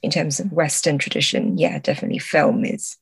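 A woman with a slightly low voice speaks as a translator guesting on a podcast. She explains literary ideas thoughtfully, hesitating as she searches for the right word. The sound is dry, with no room echo. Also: fairly even intonation, fairly quick, breathy edge.

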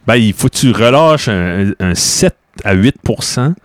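Podcast co-host, man, relaxed low voice, dry close sound. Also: a little slow, no room sound. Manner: relaxed, plain-spoken, short replies. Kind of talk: casual chat.

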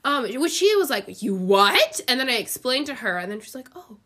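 Female podcast co-host, bright tone, congested with a head cold, casual and talkative storyteller who acts out quotes. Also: a little high, sing-song, normal speed. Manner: chatty, filler-heavy, quotes people animatedly. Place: bedroom, sitting on a bed.

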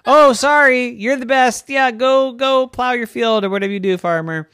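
A storyteller voicing an excitable, boastful young male pig with a high, whiny, squealing voice. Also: moderate pace, no echo, studio quality.

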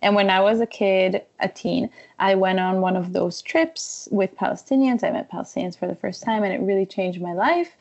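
A woman's voice: mid-range voice, moderate pace, gravelly, hardly any pauses, lo-fi sound. A woman from Israel, a wellness coach, speaking as a podcast guest and telling stories animatedly. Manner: animated storytelling, frequent laughs. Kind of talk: conversational interview.

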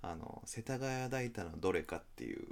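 A man's voice: fairly low pitch, animated, normal speed, creaky. A man co-hosting a podcast, chatting casually with his friends. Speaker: podcast co-host. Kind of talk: casual chat among friends.

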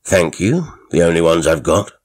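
Gruff impatient old squire, a man doing the voice, deep and blunt. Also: big pitch swings, moderate pace.

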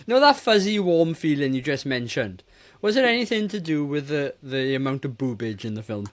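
A man's voice: on the high side, very animated, normal speed. A British man in his forties talking casually as a co-host on a film review podcast. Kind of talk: casual chat.

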